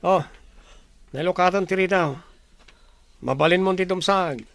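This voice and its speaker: An actor playing a young man, a forceful rival in love, in a radio drama with a Filipino accent. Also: high voice, unhurried, creaky, dry recording. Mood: earnest. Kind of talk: Ilocano radio drama acting.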